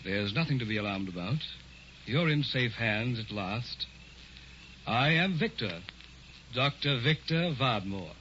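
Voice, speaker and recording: A man's voice: medium pitch, expressive, unhurried, pauses often, very noisy, close to an everyday voice. A man playing a sinister, soothing impostor doctor. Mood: sinister calm. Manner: soothing, controlled, quietly sinister. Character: sinister doctor posing as professor, calm and menacing. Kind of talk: 1940s radio acting. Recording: dull old radio recording with faint music.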